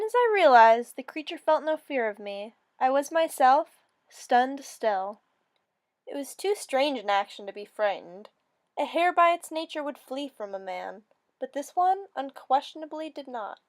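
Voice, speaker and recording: A woman with a fairly high voice reads a storybook tale aloud. Her delivery is theatrical and expressive, in the manner of a dramatic storyteller narrating in the first person. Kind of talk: dramatic reading of a fairy story.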